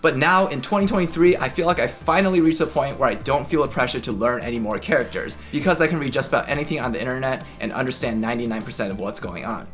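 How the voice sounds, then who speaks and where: A man's voice: medium pitch, fast talker, hardly any pauses, fairly bright tone. Young man explaining, music underneath. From United States. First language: Chinese. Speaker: language-learning YouTuber. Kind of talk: scripted video narration.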